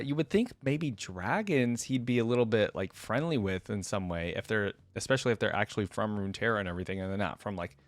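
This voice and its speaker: A man's voice: lowish voice, dry recording. Casual male reaction host, close and dry. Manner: rambling, conversational, thinking aloud.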